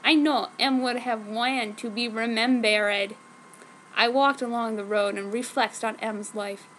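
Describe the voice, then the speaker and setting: High-voiced woman reading theatrically. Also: fairly even intonation, a little slow, fairly bright tone. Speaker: narrator. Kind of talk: mock-dramatic fan fiction reading. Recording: clearly noisy home recording.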